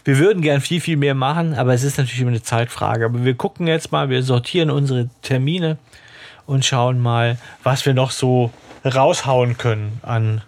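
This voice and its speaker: A man's voice: medium pitch, steady tone, moderate pace, a little mellow. A man co-hosting a fan podcast, addressing listeners directly and joking around. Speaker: podcast co-host. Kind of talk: casual podcast chat.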